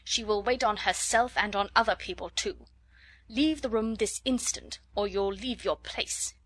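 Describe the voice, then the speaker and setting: A woman's voice: medium pitch, unhurried, no echo, close to an everyday voice. A woman voicing a stern middle-aged headmistress who gives cold, scornful commands.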